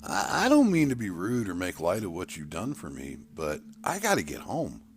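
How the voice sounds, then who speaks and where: A man's voice: deep voice, wildly swooping pitch, moderate pace, slight background noise. A man voicing an anxious, polite schoolboy in a narrated story, earnest and deferential.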